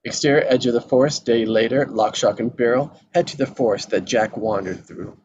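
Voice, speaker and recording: A man's voice: mid-range voice, fairly quick, dull audio. A man reading stage directions as scripted narration at a live table read. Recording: faint background noise.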